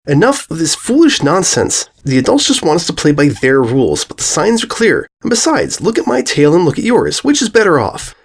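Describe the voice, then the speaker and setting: A woman's voice: sits low, big pitch swings, fairly quick, few pauses, slightly rough, voice pushed up, heavily acted. A woman voicing a bossy, defiant, snappish young filly pony in a dramatic story reading. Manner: snappish, impatient.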